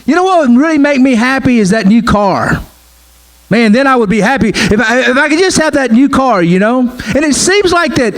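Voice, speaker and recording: A man's voice: sits high, low-quality recording. A middle-aged man preaching a sermon in a conversational way, repeating his phrases and often saying "man" and "right?". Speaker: pastor.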